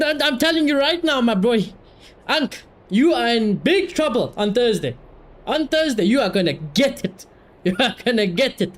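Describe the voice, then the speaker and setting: A man giving casual livestream commentary in an animated, slangy, sweary way. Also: very high voice. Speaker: live streamer.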